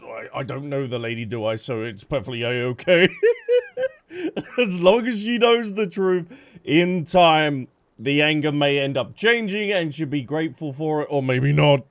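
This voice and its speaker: A man's voice: a little high, expressive, a little slow. A man giving casual gameplay commentary as a streamer, playful and chatty. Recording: game music behind.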